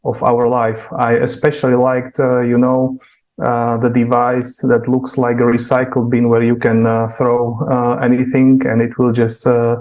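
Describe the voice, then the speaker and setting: Man, mid-pitched, monotone, hesitant discussion host, halting phrasing. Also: low-quality recording. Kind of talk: unscripted online discussion hosting.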